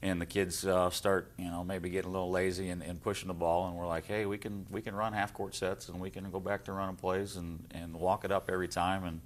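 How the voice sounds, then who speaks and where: Male head coach, deep voice, conversational sports interview answers. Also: level tone, fairly quick, few pauses. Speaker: high school head basketball coach.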